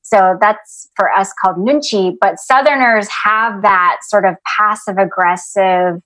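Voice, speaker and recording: A woman from the American South, bilingual in Korean and English, talks as a guest in a casual interview. Her voice sits at a middling pitch, and she is informal, animated and emphatic in the way she puts things. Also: a little slow, low-quality recording. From southern United States. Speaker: podcast guest, writer and speaker.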